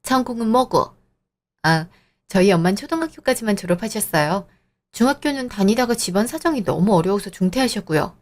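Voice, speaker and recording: A woman's voice: mid-range voice, animated, fairly quick, a little mellow. Earnest polite young woman narrator. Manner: earnest, firm yet polite. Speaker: narrator and first-person heroine. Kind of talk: scripted audio drama narration.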